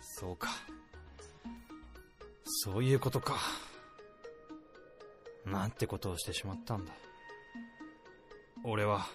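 A man's voice: lowish voice, slow pace, long pauses, over music. A man playing a blunt, hot-tempered AI developer in a radio drama, with dramatic, emphatic delivery. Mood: remorseful, weary. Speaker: lead character and narrator.

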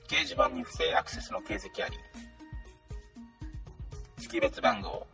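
A man playing a polite, calm AI smart speaker in a radio drama, speaking in formal, mechanical announcements with robotic processing. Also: very high voice, creaky, distant mic, exaggerated voice.

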